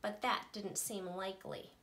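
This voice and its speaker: A woman, a teacher, reading a children's picture book aloud with playful, expressive delivery. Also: mid-range voice, moderate pace. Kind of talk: storybook read-aloud.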